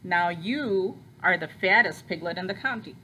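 A woman's voice: on the low side, lively intonation, normal speed, bright, forceful tone, low-quality recording. Lady doing a growly boastful fox from a kids book, hungry, swingy delivery, bit of noise. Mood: satisfied. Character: hungry, gruff male fox eager for dinner.

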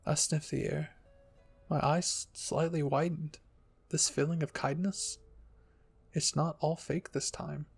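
A man narrating a first-person story in a scripted ASMR reading style, with a husky, gravelly voice. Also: fairly high pitch, level tone, takes it slowly, pauses often, soft background music. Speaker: fan fiction reader and narrator.

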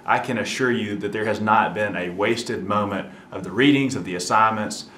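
A pastor from Alabama giving an earnest, emphatic recommendation, standing in a church atrium. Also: male voice, lowish voice, moderate pace, a bit bright, echoey room, a little hiss or hum. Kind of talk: earnest testimonial.

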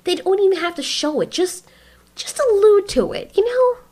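A woman's voice: very high-pitched, lively intonation, normal speed. A woman giving casual reaction commentary in a sarcastic, exasperated manner. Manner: sarcastic, exasperated, mildly profane. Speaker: reaction video host.

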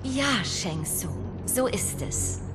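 An actress playing a bold, determined young warrior princess in a dramatic video game, decisive and commanding. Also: female voice, mid-range voice, very animated, slow pace. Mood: defiant, cool. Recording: loud music behind voice. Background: loud music.

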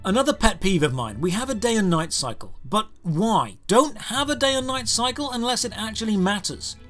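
A man's voice: sits high, big pitch swings, moderate pace, fairly bright tone. A man giving scripted, comedic game review narration in a sardonic, exasperated manner. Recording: music behind the voice. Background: background music.

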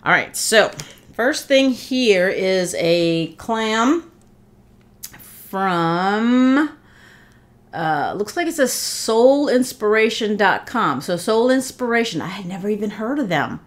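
A woman's voice: mid-range voice, lively intonation, slow. A middle-aged woman chatting casually while unboxing a gift, a bit congested from a cold, with lots of fillers and heartfelt thanks, recorded seated in her living room. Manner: chatty, warm thanks, many fillers and restarts. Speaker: vlogger.

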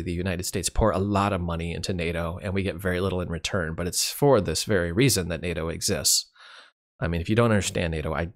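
Hoarse low-voiced man, rambling vlog. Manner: candid, rambling, wry. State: hoarse, losing his voice. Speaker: YouTube channel host. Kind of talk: casual year-in-review monologue.

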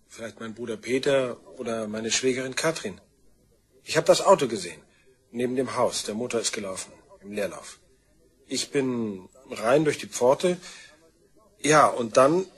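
A man's voice: medium pitch, normal speed, fairly bright tone, slightly rough. An actor playing an offhand, slyly needling man questioned as a witness in a radio crime drama, speaking casually. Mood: casual, halting. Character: offhand, needling younger-brother type, male crime witness.

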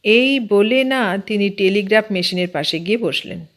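A woman's voice: medium pitch, normal speed. A woman reading a humorous story aloud as an audiobook narrator.

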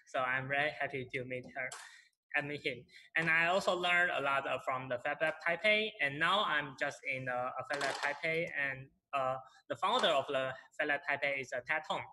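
A man in his twenties with a Taiwanese accent, a native Mandarin speaker, moderating an online presentation in halting, non-native phrasing. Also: mid-range voice, pushed and bright, a little hiss or hum, rough recording. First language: Mandarin Chinese. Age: 20s. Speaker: panel moderator. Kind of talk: online presentation with self-introduction. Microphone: video call.

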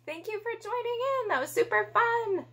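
A woman's voice: very high voice, animated, normal speed, fairly bright tone, faint music behind. A middle-aged woman hosting a children's storytime, speaking expressively and directly to young listeners as if reading aloud. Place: small indoor room. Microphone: camera a short distance away.

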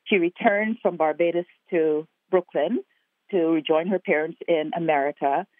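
A woman's voice: on the low side, normal speed, no room sound. A woman from Guyana, an actor and playwright, speaking earnestly in an interview and building her points in repeated phrases. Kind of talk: interview over the phone. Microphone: telephone. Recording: tinny phone line.

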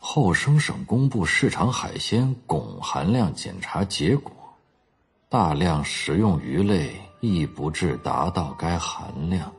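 An actor playing a curious middle-aged detective in an audio drama, casual and probing, with a husky voice. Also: male voice, low-pitched, animated, slow pace, heavily acted. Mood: musing.

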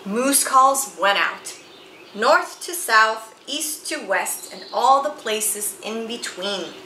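A woman reads a children's story in a bright, very lively voice. Her phrasing is theatrical and emphatic, taken at an unhurried pace. The room adds noticeable echo. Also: on the high side. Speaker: picture book reader. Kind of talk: expressive children's read-aloud storytelling. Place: small indoor room with bookshelves and chalkboard. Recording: roomy sound with faint background noise.

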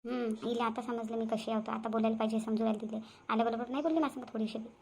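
Lady doing a grumbly proud cartoon granny, vidarbha marathi accent, really high and fast. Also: fairly even intonation, gravelly, darkish tone. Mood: sneering. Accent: Vidarbha Marathi (Varhadi). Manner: nagging, complaining, muttering asides. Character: proud, grumbling, boastful grandmother and mother-in-law. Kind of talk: cartoon family drama dialogue.

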